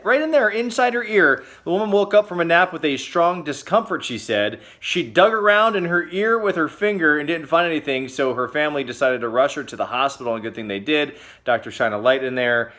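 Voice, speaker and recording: A man hosting a casual comedic news roundup, chatty and joking, with sudden loud exclamations. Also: fairly high pitch, lively intonation, brisk. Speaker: host.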